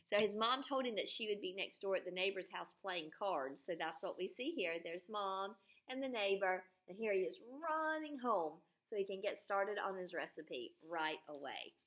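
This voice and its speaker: A woman reading a storybook to children like a teacher, expressively, pausing to explain simply and ask the listeners questions. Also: medium pitch, fairly bright tone.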